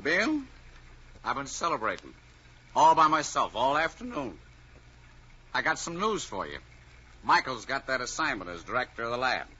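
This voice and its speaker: A belligerent, tipsy husband in an old radio drama. His voice is very sharp and forceful, swinging widely in pitch, on a dull vintage recording. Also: sing-song, moderate pace, long pauses, husky, exaggerated voice. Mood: bitter, sarcastic. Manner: belligerent, boastful. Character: bitter, drunken, stubborn, domineering husband. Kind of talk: melodramatic radio drama acting. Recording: band-limited vintage radio recording, faint noise.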